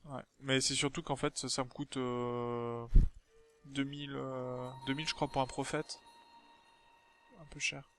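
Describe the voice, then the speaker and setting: A man's voice: mid-range voice, flat delivery, a little slow, a bit bright, faint music behind. A man with a southern French accent, hosting a casual multiplayer game commentary with frequent hesitations. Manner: hesitant, many filler sounds. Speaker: let's play host.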